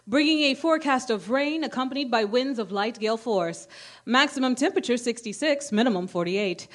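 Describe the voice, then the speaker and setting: A woman as a high, lively radio bulletin announcer. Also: natural voice. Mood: formal, matter-of-fact. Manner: formal announcing. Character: female 1930s radio news announcer reading weather and bulletins. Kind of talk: scripted radio bulletin in a stage radio play.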